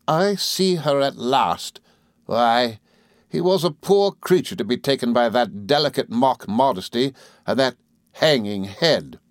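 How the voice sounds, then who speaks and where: Storybook villainess, played by a man: imperious young gentlewoman, raised pitch, cutting contempt, fierce and unrelenting, dry sound. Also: expressive, measured pace, dry recording, close to an everyday voice. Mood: contemptuous. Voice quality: cold, stern, sharp tone. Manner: contemptuous, resolute, theatrically fierce. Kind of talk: dramatised classic novel reading.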